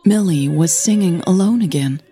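A woman reading scripted fiction as the narrator of an audio drama, in a measured, gentle manner. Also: fairly low pitch, faint music behind.

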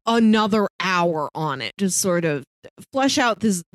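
Woman, podcast co-host, casual review chat, animated storytelling, mid-range pitch, dry sound. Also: measured pace, no room sound.

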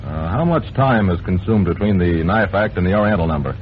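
Low-voiced, brisk old-radio police captain. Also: male voice, lively intonation. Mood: probing, terse. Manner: clipped, businesslike, commanding questioning. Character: brisk, no-nonsense male police homicide captain. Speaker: actor. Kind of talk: old-time radio police drama acting. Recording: muffled, noisy vintage radio recording.

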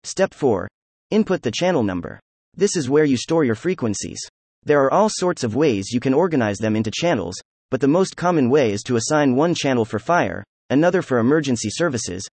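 A man's voice: normal speed, no room sound. A synthetic text-to-speech man's voice reading an instructional article aloud. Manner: even instructional delivery with lively pitch. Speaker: narrator.

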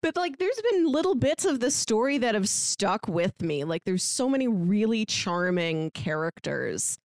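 Female co-host, mid-pitched, dry close recording; loose, rambling, giggly book chat. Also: sing-song, measured pace, a little mellow, no echo. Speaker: podcast co-host. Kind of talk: casual chat about books.

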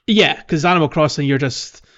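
A man's voice: fairly high pitch, brisk, a bit bright, dull audio. A man co-hosting a gaming podcast in casual, rambling chat, thinking aloud as he goes. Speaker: podcast co-host.

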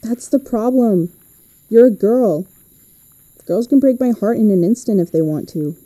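A woman playing an anxious, chatty American high school girl who rambles nervously into an audio diary in a podcast drama. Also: animated, unhurried, mellow, dry recording, rough recording. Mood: earnest, wistful. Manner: nervous, rambling, self-deprecating.

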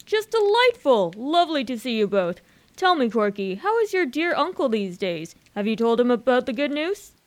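Breezy posh young chap played by a woman, highish voice, old society slang, radio comedy. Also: sing-song, moderate pace. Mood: welcoming. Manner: breezy period upper-class slang.

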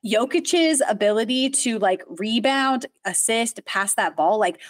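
A woman co-hosting a casual sports podcast, chatty and quick to laugh, saying "like" often. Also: a little high, expressive, dry recording, clean studio sound. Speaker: podcast co-host.

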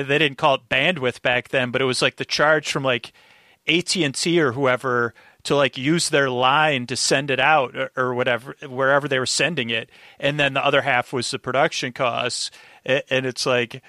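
Bright-voiced man, rambling casually. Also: medium pitch, steady tone, no echo, studio quality. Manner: rambling, halting, stutters over words, circles back. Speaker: podcast guest. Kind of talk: casual conversation, recapping an old radio show.

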